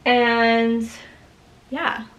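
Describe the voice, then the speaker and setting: A young woman chats to her camera in a home studio, her voice sitting a touch high. She rambles through her to-do list with plenty of ums and side remarks, sounding a little stuffy from allergies. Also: level tone, very slow, lo-fi sound. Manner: chatty, rambling, many ums and asides. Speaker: small business owner vlogging. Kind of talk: casual vlog chat to camera.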